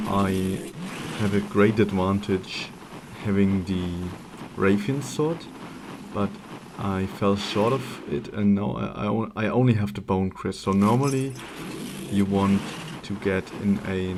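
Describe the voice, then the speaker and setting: Slow, low-voiced German-accented man. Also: noisy background. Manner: halting, searching for words. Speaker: speedrunner giving commentary. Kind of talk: unscripted gameplay commentary. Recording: game music playing behind. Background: video game music.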